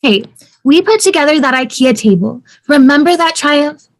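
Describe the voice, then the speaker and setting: A woman's voice: high-pitched, lively intonation, normal speed. A woman playing an upbeat, playful, optimistic young wife in a sitcom table read.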